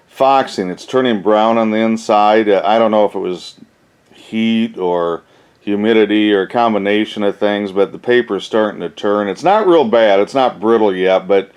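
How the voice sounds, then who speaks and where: A man's voice: medium pitch, a little mellow. A man hosting a casual, unscripted collector's haul video, thinking aloud in a rambling way with folksy phrasing. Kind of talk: casual show-and-tell, unscripted.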